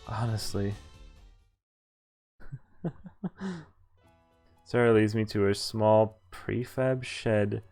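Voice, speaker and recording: A man streaming a visual novel, reading its text aloud and adding casual, amused, informal commentary. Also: fairly low pitch. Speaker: game streamer. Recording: background music behind the voice. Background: game music.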